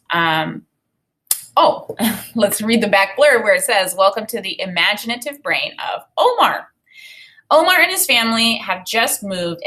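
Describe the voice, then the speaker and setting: Bright-voiced woman teacher reading aloud. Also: medium pitch. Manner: enthusiastic, expressive, conversational. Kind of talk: children's read-aloud lesson, thinking aloud. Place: small home room, indoors.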